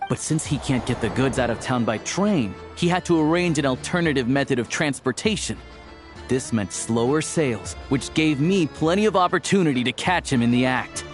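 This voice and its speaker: A man's voice: medium pitch, lively intonation, moderate pace, lightly acted. A man playing a shrewd hunter in a video game, speaking with blunt, matter-of-fact confidence. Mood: accusing. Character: shrewd, blunt male hunter turned investigator. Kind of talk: dramatic video game voice acting. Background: faint background music.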